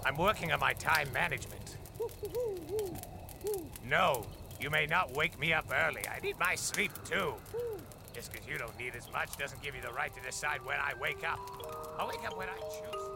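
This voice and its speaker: An actor playing a fussy, flustered lore master in a storybook audio drama, muttering theatrically to a pet owl. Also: male voice, on the high side, normal speed, gravelly, background noise. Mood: fussy, grumbling. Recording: music under the voice.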